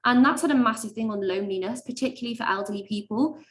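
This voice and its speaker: A young woman, a medical student, giving chatty webinar advice with lots of ums and likes and a high-pitched voice. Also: fast talker. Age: young adult. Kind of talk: webinar talk.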